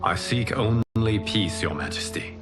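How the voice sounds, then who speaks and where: Deep earnest former god, measured formal game cutscene talk, score playing behind. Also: male voice, lively intonation, over music. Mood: earnest, solemn. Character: solemn, humble former god and protector of Earth. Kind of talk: dramatic video game cutscene acting.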